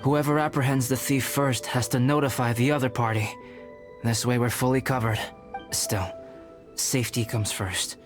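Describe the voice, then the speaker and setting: A man playing a calm, methodical young scholar in a video game, speaking in a measured, polite and precise way. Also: medium pitch, lightly acted. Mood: composed, careful. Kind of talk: video game character voice acting. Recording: game music behind the voice.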